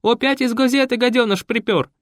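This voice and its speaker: A man reading a loud, pushy, gossiping church choir director who talks fast and shrieks. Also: big pitch swings, no echo, studio quality. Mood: shrill alarm. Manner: shrill, rapid, pushy. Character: loud nosy church choir director, middle-aged woman.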